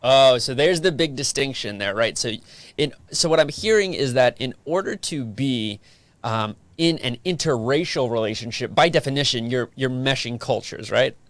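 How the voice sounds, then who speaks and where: Male podcast host, friendly interviewer, dry close sound, medium pitch. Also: expressive, fairly bright tone, no echo. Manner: friendly, asks rapid-fire questions. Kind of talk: casual interview chat.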